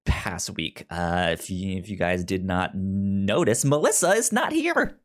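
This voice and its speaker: A man hosting a casual podcast, talking in an animated way with frequent restarts and repeated words. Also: sits low, measured pace. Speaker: podcast host. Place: indoors, in a jacket.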